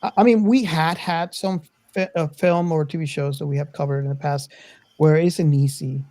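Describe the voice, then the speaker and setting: A man hosting a casual film discussion podcast, speaking in a measured, hesitant, roundabout way. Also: on the high side, steady tone, dark tone. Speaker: podcast host.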